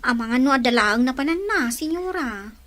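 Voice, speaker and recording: A woman's voice: high voice, lively intonation, fairly quick, a bit bright, no room sound. Polite Ilocano housemaid, a woman voicing her. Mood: deferential. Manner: polite, deferential. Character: deferential young housemaid.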